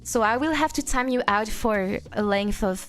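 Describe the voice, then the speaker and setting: A woman's voice: fairly high pitch, moderate pace, fairly bright tone, faint music behind. A young woman in her twenties from France with a French accent, chatting playfully on a live stream and answering chat. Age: 20s. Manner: playful, reads chat aloud, frequent laughter.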